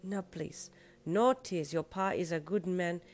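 A woman voicing a warm, kindly male Italian taxi driver with an Italian accent and a soft, reassuring manner. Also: on the low side, close to an everyday voice. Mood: soothing. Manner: soft-spoken, drawn-out, sprinkles endearments.

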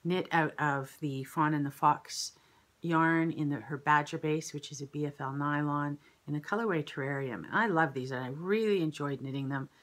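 A woman in her fifties with a croaky throat, chatting at home to the camera as host of a knitting podcast, rambling with many fillers. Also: sits low, fairly even intonation, normal speed. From Canada. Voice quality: slightly croaky. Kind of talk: casual chat to camera. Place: kitchen at home, indoors.

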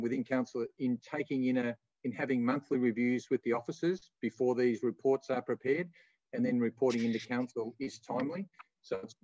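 Australian man as a finance officer at a council meeting, mid-pitched, explanatory, close dry sound with dulled top end. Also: darkish tone, dry recording. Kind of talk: formal meeting report and answers. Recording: slightly dull meeting audio.

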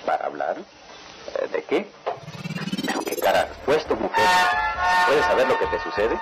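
A middle-aged radionovela father, proud and jovial. His low voice moves expressively in declamatory fashion, over clearly audible music. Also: huge pitch swings, unhurried, full character voice, rough recording. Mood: puzzled. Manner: chuckling, declamatory patriarch. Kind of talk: radionovela acting.

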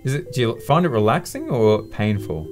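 A man's voice: medium pitch, expressive, normal speed. A young man hosting a comedy gadget test, joking casually with sardonic, sweary remarks. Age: young adult. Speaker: host of a gadget-testing comedy show. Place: small indoor studio, at a table. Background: music.

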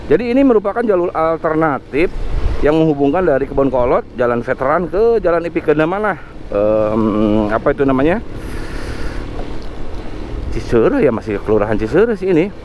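A man chats his way through a ride on a scooter, stopping to search for street names. His pitch sits mid-range, and faint traffic hums under the helmet-mounted mic. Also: darkish tone. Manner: chatty, thinks aloud, frequent fillers. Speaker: motovlog host. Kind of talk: casual narration while riding. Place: outdoors on a motor scooter in town traffic. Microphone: helmet or body camera mic. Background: faint traffic and engine noise.

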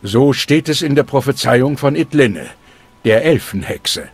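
An actor playing a stern, solemn older preacher of a fire cult in a dubbed video game. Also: male voice, medium pitch, animated, measured pace, slightly rough. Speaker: game character. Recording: game audio with music underneath.

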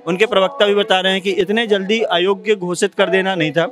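A young man from Madhya Pradesh giving his opinion in a night-time outdoor street interview, talking formally with many pauses. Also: sits high, steady tone. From Madhya Pradesh, India. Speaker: interviewee, exam aspirant. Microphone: handheld mic.

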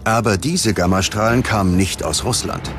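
Guy doing dramatic documentary narration, lowish voice, music under it, measured delivery.

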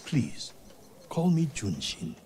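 A man's voice: medium pitch, huge pitch swings, slow, darkish tone. A man playing a humble, courteous temple monk in a video game, deferential and formal. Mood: welcoming. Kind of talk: dramatic video game acting.

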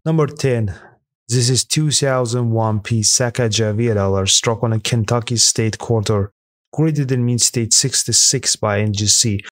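A man reading a scripted voice-over that counts down rare coins sold at auction, in a steady, even manner with an occasional slip. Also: medium pitch, no echo. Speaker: narrator of a coin auction countdown. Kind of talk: scripted voice-over narration read aloud.

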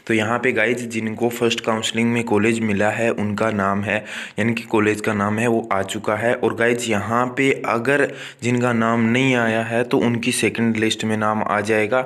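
A man's voice: medium pitch, fairly bright tone. A man, walking viewers through an admissions website on a screen recording and restating figures as he goes. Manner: addresses viewers as guys, repeats and restates numbers with frequent "yaani ki". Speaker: online tutor for agriculture exams. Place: indoors, voice-over on a phone screen recording.

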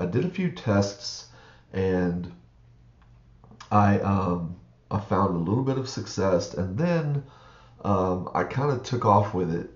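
A man's voice: sits low, darkish tone, roomy sound, lo-fi sound. A man with a froggy, groggy morning voice, rambling through a casual screen-share walkthrough with many fillers. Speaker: online reseller vlogger.

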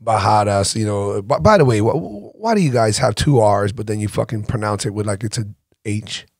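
A man's voice: lowish voice, a little fast, darkish tone, no room sound, studio quality. Blunt, swearing podcast host man. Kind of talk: casual interview. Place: small podcast studio. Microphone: studio boom mic with headphones.

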